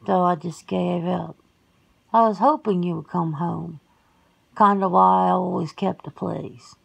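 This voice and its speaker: A woman's voice: fairly low pitch, fairly even intonation, measured pace, gravelly, breathy edge, exaggerated voice, rough recording. A woman playing a folksy, plain-spoken werewolf sister in an ASMR roleplay, talking casually and colloquially. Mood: reflective.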